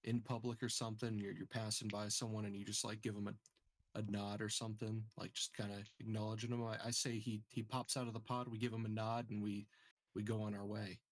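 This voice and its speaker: A man's voice: fairly low pitch, flat delivery, fairly quick, no echo. A man playing a tabletop roleplaying game, chatting in a laid-back, joking manner. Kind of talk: casual chat.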